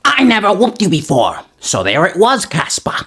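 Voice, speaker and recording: An exasperated parent played by a woman scolds with theatrical flair in a family comedy skit. The voice is gravelly and very animated in pitch, and music plays behind it. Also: normal speed. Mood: scolding, exasperated. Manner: dramatic, scolding. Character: exasperated, scolding parent arguing with a bratty kid.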